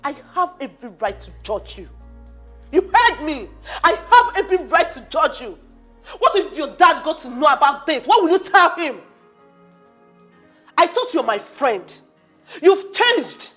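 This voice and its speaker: A woman's voice: high-pitched, very animated, a little slow, fairly bright tone, exaggerated voice. An actress playing a reproachful young woman who scolds her friend, with incredulous speech. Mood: scolding. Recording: faint music behind.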